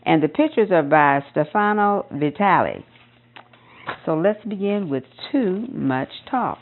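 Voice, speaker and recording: A woman reading a children's picture book aloud in an expressive storytelling style, with emphasis on repeated phrases. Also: lowish voice, a little slow, narrow, tinny audio. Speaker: storybook reader.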